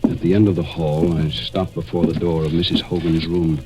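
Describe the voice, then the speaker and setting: An American actor playing a cold, scheming murderer who narrates deliberately and suspensefully in a 1940s radio mystery. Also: male voice, deep voice, level tone, very noisy, close to an everyday voice. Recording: vintage 1940s radio recording, music behind.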